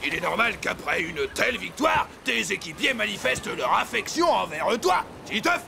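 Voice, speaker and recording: A man voicing a bombastic, proud race announcer in a cartoon, proclaiming loudly and pompously. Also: high-pitched, sing-song, normal speed, creaky, faint music behind, heavily acted. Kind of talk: animated cartoon dialogue.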